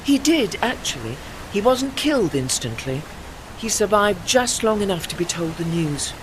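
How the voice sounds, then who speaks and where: A woman playing a dignified, retired old warship in an audio drama, gentle and wistful, speaking in a measured, articulate and formal way. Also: mid-range voice, very animated, a little hiss or hum, close to an everyday voice, low-quality recording. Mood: solemn recollection.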